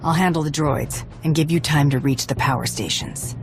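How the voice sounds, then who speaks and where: A woman's voice: low-pitched, moderate pace. A woman playing a tough, confident bounty hunter in a video game, talking bluntly and dryly. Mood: confident, businesslike. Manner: blunt, dry, assured. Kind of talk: video game cutscene acting. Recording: voice over background music.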